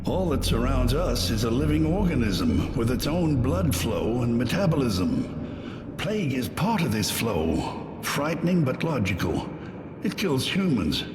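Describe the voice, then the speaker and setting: Disdainful plague herald, theatrical and unhurried, very lively pitch, echoey hall with music. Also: male voice. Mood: scornful, ominous. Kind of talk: theatrical game monologue. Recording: echoey hall, long reverb, music behind.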